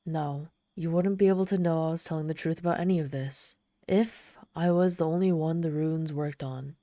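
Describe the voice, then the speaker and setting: A woman's voice: low voice, steady tone, normal speed, warm tone, no echo, studio quality, lightly acted. A woman voicing a proud, lonely, trapped female demon in an ASMR roleplay, sardonic and exasperated with a pleading, vulnerable streak. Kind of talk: ASMR roleplay monologue, dramatic storytelling.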